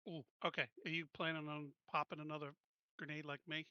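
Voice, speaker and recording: A man's voice: a little high, flat delivery, fairly quick, smooth, no room sound, dull audio. A man chatting casually as a player in a tabletop game.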